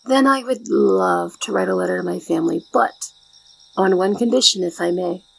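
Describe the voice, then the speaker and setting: A woman playing a shy, polite, devoted young maid in an ASMR roleplay, speaking gently and formally. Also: on the low side, big pitch swings, moderate pace, creaky, no echo, exaggerated voice, poor audio. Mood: gently firm, grateful.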